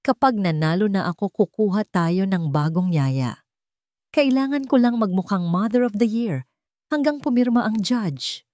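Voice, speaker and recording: A woman's voice: medium pitch, animated, moderate pace, no echo, studio quality, lightly acted. A woman voicing a scheming, arrogant, falsely sweet mother in an audio story. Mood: haughty, scornful. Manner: sharp and haughty, or sugary when pretending.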